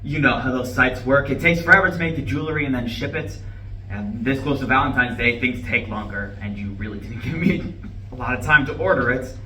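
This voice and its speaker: A man's voice: medium pitch, a little fast, pushed and bright, lightly acted. A man reading the part of an earnest, defensive office-worker husband in a live play reading, with a hesitant, over-explaining manner. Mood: defensive, flustered. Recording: distant mic, echoey room, background noise.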